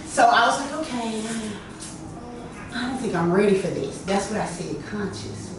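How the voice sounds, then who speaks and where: A woman hosting a workshop, telling stories to a small group in a lively, chatty way, with a lot of "you know.". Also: medium pitch, moderate pace, distant mic. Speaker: workshop host. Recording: echoey room, from afar, noisy.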